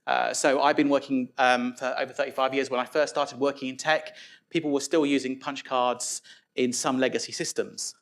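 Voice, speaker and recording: A man's voice: medium pitch, flat delivery, moderate pace, gravelly, fairly bright tone. A man gives a conference talk on developer tools in a casual, conversational way, with plenty of fillers and restarts. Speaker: conference speaker.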